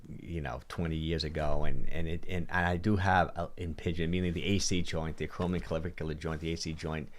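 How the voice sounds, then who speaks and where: A deep-voiced man in his late fifties chats through a relaxed livestream Q&A, full of restarts and repeated words. He talks into a studio mic on a boom arm in a small home studio. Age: 50s. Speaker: fitness livestream host. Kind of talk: casual livestream Q&A. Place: home studio with purple lighting.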